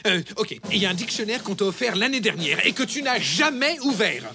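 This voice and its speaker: A man's voice: high-pitched, lively intonation, a little fast. A man voicing an exasperated father in a French children's cartoon.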